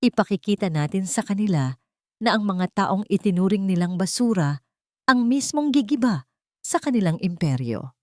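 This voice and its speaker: A woman's voice: medium pitch, lively intonation, moderate pace, no echo, clean studio sound, natural voice. A woman reading as a resolute airline captain. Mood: commanding. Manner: authoritative, resolute.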